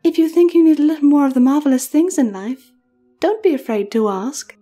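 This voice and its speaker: A woman's voice: high-pitched, a little fast, mellow, faint music behind, pitched above the performer's own voice, lightly acted. A woman voicing a mysterious unicorn mare in a horror story, melodious, honeyed and teasing, with a singsong way of tempting. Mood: sweetly coaxing. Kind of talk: horror fan fiction reading.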